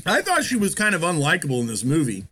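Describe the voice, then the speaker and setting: A man co-hosting a film review chat, animated and jokey. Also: fairly high pitch, fast. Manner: animated, jokey, acts out scenes in mock voices. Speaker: podcast co-host reviewing a film. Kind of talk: casual chat.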